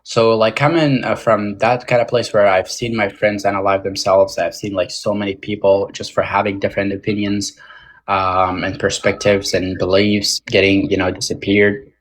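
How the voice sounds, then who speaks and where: Halting young man, heavy with fillers. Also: on the low side, little pitch movement, rough recording. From Tajik American, born in Moscow. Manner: halting, many fillers and restarts. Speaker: podcast guest. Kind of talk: casual interview talk.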